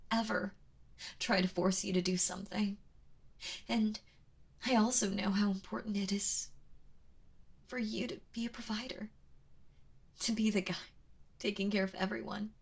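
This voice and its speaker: A woman playing a kind but obsessive, lovesick yandere captor in an intimate ASMR audio roleplay, speaking softly and hesitantly in a pleading, breathy way. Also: mid-range voice. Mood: soothing, possessive. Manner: hesitant, pleading, soft-spoken with breathy drops into whispers.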